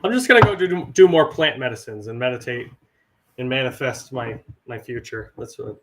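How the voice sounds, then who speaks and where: Raspy-voiced man with a cold. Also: mid-range voice, lively intonation, moderate pace. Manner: rambling, swears casually. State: hoarse from a cold. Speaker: veteran guest and actor. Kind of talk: casual chat.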